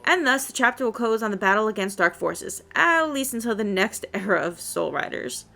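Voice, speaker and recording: A woman reading the part of a solemn hooded druid sage with formal, measured wording. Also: medium pitch, animated, creaky, sharp tone.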